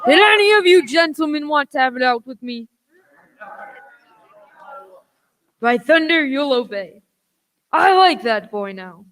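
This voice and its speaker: A woman's voice: sits high, lively intonation, moderate pace, fairly bright tone, no room sound, poor audio. A woman acting a sly, commanding old male pirate leader in a radio play, with theatrical, piratical phrasing.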